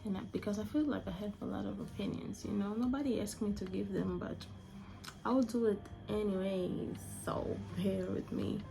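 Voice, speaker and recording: Young female vlogger from Angola, Portuguese first language, gravelly, restarts phrases a lot. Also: medium pitch, moderate pace, noisy background. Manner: chatty, searching for words, restarts phrases. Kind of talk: casual opinion vlog.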